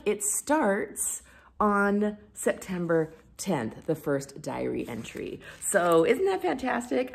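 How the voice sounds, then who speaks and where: A woman's voice: medium pitch, gravelly. A woman talking casually as a book reviewer, with an enthusiastic, effusive and informal manner. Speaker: book reviewer and video host. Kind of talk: casual chat to camera about books.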